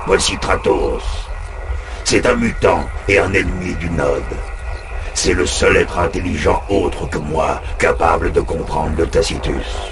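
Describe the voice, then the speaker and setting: Very deep, slow, cold artificial intelligence. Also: male voice, background noise. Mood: cold, scheming. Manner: measured, emotionless, formal. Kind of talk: video game cutscene dialogue.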